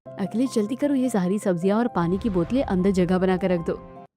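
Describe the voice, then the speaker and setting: A woman voicing a lively, scheming young wife in a cartoon, animated and conspiratorial. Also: medium pitch, brisk, soft background music, natural voice.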